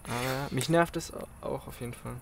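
A man's voice: medium pitch, brisk, creaky, a little hiss or hum. A man in casual podcast chat, speaking hesitantly with frequent restarts. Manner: hesitant, many restarts and fillers. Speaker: podcast co-host.

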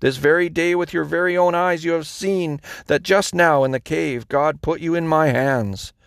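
A man's voice: on the high side, fairly even intonation, normal speed, gravelly, clean tone, no room sound, voice pushed up. A guy reading scripture doing a young hero begging the king, heated and earnest, dry sound. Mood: impassioned. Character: young fugitive hero appealing to his king.

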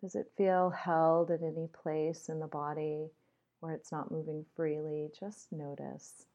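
A woman leading a guided meditation in a measured, instructional way with deliberate pauses, on slightly dull audio. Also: low voice, level tone, dark tone.

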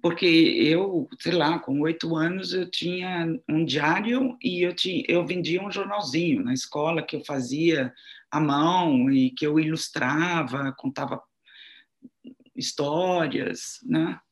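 A woman in her sixties, speaking her second language, answers reflectively in an interview, halting as she searches for words. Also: deep voice. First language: not Portuguese (Portuguese is second language). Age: 60s. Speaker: interviewee, writer and art journalist.

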